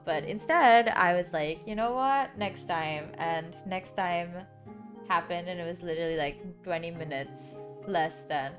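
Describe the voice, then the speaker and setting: A young woman streamer with a dry, sick-sounding throat, chatting casually and rambling with lots of filler words. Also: on the low side. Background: background music.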